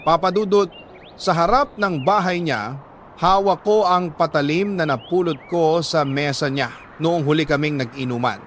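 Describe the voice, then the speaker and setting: Higher-pitched man telling a solemn dramatic story. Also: a bit bright, slight background noise. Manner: solemn, emotive, with short phrases and deliberate pauses. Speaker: narrator reading a listener's letter. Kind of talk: dramatic first-person scripted storytelling.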